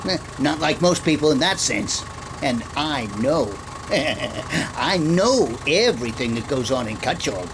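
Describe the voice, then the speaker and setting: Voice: mid-range voice, animated, measured pace. An actor playing a folksy, eager small-town gossip with ungrammatical rustic speech in a radio detective drama. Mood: gossipy, insistent. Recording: vehicle engine noise behind the voice.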